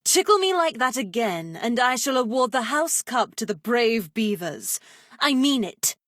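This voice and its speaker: A woman's voice: on the high side, big pitch swings, a little slow, fairly bright tone, dry recording, studio quality. A woman voicing a flirtatious, macabre witch teacher in a video game, speaking in a sultry, arch, teasing and mock-formal way. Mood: coy, flirtatious. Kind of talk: theatrical video game character voice acting.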